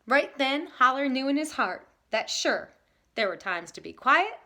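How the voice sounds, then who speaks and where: A woman reading aloud to children in an expressive style, leaning dramatically on key words. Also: high-pitched, measured pace. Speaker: storybook reader.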